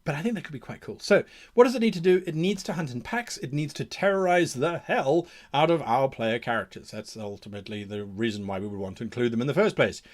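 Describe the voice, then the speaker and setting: A man's voice: medium pitch, lively intonation, fast. A man hosting an advice show in a casual, enthusiastic explaining style, with theatrical emphasis. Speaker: host of a game mastering advice show.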